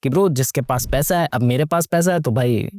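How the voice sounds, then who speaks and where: A man's voice: medium pitch, fairly quick, darkish tone, no room sound, clean studio sound. A young man giving casual, sarcastic movie commentary, slangy and mocking, full of rhetorical questions and mixing English into his Hindi. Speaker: YouTube movie reviewer.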